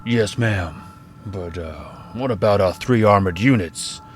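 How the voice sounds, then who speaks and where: Henchman, male actor: deep, slow voice, dutiful and hesitant, audio drama, faint background noise. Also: close to an everyday voice. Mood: deferential, uneasy. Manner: deferential, hesitant.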